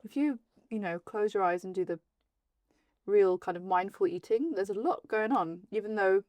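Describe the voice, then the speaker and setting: A woman's voice: medium pitch, moderate pace, a little mellow, no room sound. A woman from South Wales chatting casually as an interview guest over a meal, informal, jokey and self-deprecating. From Cardiff area, Wales.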